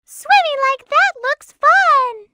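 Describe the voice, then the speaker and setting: A woman's voice: very high-pitched, animated, slow, heavy background noise, heavily acted. An actress playing a sweet, polite little girl rabbit in a video game, with a childlike manner. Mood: delighted. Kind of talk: video game character lines.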